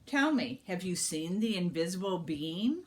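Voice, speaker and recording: A stern, shrewd gatekeeper woman from a folktale, voiced by a female reader. She questions in a commanding, probing way, with emphatic rises and drops in pitch. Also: very animated, a little slow. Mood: challenging. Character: stern, shrewd sister of an invisible being, testing visitors.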